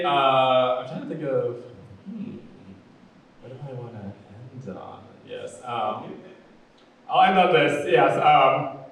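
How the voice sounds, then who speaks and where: A man doing stand-up in a campy style, his deep voice carrying a sweet, fruity lilt and a constant run of "like" and "you know". He sounds a bit off the mic, and his voice rings around a very echoey club room with a faint hum behind it. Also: distant mic. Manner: campy, chatty delivery full of "like" and "you know". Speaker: stand-up comedian. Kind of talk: stand-up comedy set. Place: comedy club stage.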